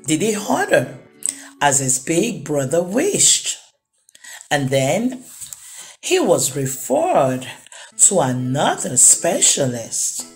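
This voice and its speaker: A woman reading a children's book aloud as a storyteller, expressively and with deliberate, dramatic pauses. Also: fairly low pitch, a little mellow.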